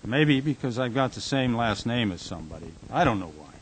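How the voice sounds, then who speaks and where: An actor playing a tormented, haunted man in a radio drama, speaking in a brooding, halting, intense way. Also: mid-range voice, animated, close to an everyday voice, low-quality recording. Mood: troubled, searching. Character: Jack, a tormented man haunted by falling dreams. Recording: hissy amateur recording.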